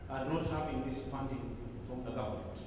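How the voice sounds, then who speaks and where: A man's voice: medium pitch, brisk. A man asking a concerned, pressing question from the audience at a conference. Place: large conference hall. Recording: echoey hall, distant mic, background noise.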